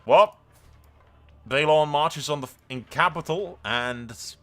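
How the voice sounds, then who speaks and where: A man giving excited, sweary video game commentary with a theatrical, roleplaying streak. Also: a little high, unhurried.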